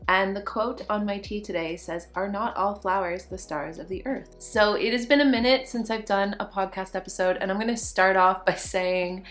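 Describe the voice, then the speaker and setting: A young woman, a little hoarse from a cold, giving a casual personal update as a podcast host, reflective and pausing to find her words. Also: mid-range voice, steady tone, faint music behind. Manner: reflective, searching for words, frequent restarts.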